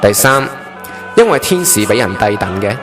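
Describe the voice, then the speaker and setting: A man's voice: lowish voice, big pitch swings, normal speed. A man with a Hong Kong accent playing a solemn, authoritative chief angel giving instructions in a radio drama. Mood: solemn, instructing. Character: solemn male chief angel briefing a newly made trainee angel. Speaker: voice actor in an online radio drama. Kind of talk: Cantonese radio drama acting. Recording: music bed under the voice.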